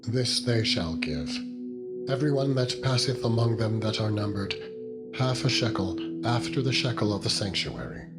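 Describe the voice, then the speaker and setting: A man narrating the Bible as a solemn, measured, formal scripted reading. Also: on the low side. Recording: loud music with ringing singing-bowl tones. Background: music and singing bowl tones.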